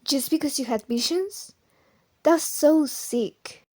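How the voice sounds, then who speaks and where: A woman's voice: high-pitched, takes it slowly, darkish tone. A woman voicing an inquisitive, outspoken team helper in an audio drama. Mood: curious. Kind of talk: amateur audio drama acting.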